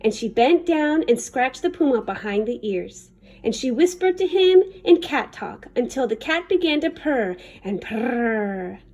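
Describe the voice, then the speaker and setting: High, animated woman reading a story. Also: a little slow. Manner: animated, dramatic. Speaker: children's story reader. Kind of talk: expressive picture-book narration.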